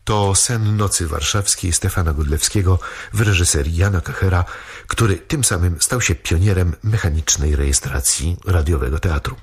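A man reading a scripted documentary narration in a measured, informative way. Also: low-pitched, breathy edge.

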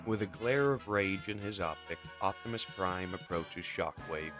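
A man's voice: sits low, a little slow. A man narrating a comic book as a dramatic scripted reading. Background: music.

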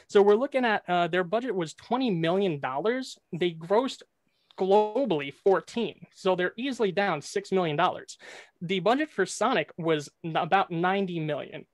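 Young male debater, high-pitched, cocky trash talk, dry close mic. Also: expressive, no room sound. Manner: brash, trash-talking, rapid-fire points. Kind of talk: competitive debate argument.

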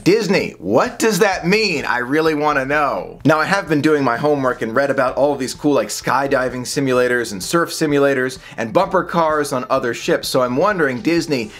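A man's voice: medium pitch, fairly bright tone. A man in his thirties talking casually to the camera from a small home office, upbeat and chatty, gesturing as he speaks. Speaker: vlogger.

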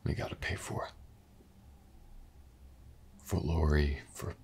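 Audio drama detective, played by a man, rock-bottom pitch, gravel-heavy fry, weary, skeptical, gruff yet earnest. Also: flat delivery, slow, a little mellow, in a whisper, heavily acted. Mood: sincere, weary. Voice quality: gravelly, husky. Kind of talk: audio roleplay acting, intimate and hesitant.

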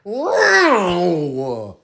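A man's voice: high-pitched, wildly swooping pitch, extremely slow, vocal fry, sharp tone, pitched above the performer's own voice, exaggerated voice. A man voicing a stressed, fed-up cat, wailing in exasperation for a comic voiceover. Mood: outraged, fed up.

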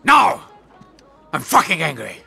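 A man's voice: fairly high pitch, huge pitch swings, unhurried, gravelly, slightly rough, soft background music, exaggerated voice. An actor playing a volatile, menacing middle-aged Russian mobster father with a Russian accent, brooding and explosive, in a video game. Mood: explosive rage. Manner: brooding mutters swinging into explosive shouting.

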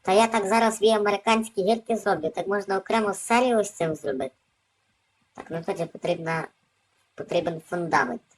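Woman doing casual gameplay commentary, medium pitch. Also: fairly quick, poor audio. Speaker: gaming video host.

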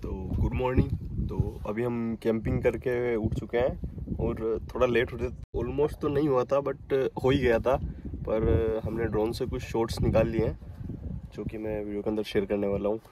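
A young man vlogging to a handheld phone from an open safari jeep in a dry forest, talking in a relaxed, chatty way. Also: medium pitch, moderate pace. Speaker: travel vlogger. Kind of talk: casual vlog narration. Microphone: phone held at arm's length. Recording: outdoor recording with clear background noise.

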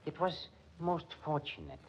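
An actor playing a suave, defiant concert musician with a Continental European accent and formal phrasing, in 1940s radio style. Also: male voice, on the high side, wildly swooping pitch, breathy edge, heavily acted. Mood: suave, defensive. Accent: foreign, Continental European. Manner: formal, measured foreign phrasing. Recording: muffled 1940s radio recording.

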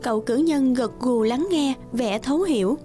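Female narrator, high register, scripted children's fairy tale read over a music bed. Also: moderate pace, mellow.

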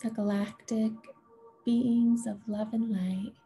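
A woman leading a guided meditation in a gentle, soothing, unhurried way, with a soft, breathy voice that often nears a whisper. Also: fairly high pitch, steady tone. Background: music.

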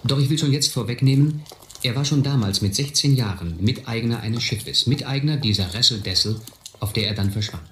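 A middle-aged actor playing a reflective man who recalls his boyhood, narrating a radio play in measured, literary style. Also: mid-range voice, a bit bright, lightly acted. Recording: echoey old recording, faint noise.

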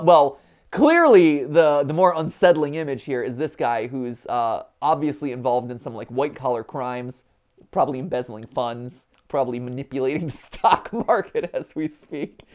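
A man in his thirties hosting a gaming stream with casual, animated, joking reaction commentary full of exclamations. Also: on the high side. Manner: animated, jokey asides, exclamatory. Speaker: livestream gaming host. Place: small furnished room, on a couch.

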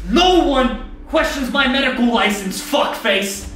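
A man's voice: extremely high pitch, lively intonation, measured pace, heavy background noise. A man voicing an arrogant, pompous laid-off male surgeon who talks in a condescending, sneering way. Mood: insulting. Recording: echoey room.

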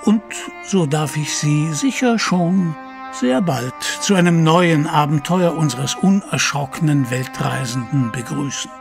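An actor playing a courtly author-narrator, telling an adventure in formal, theatrical, scripted narration. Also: male voice, a little high, lively intonation, unhurried, creaky, music in the background. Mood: courtly, solemn.

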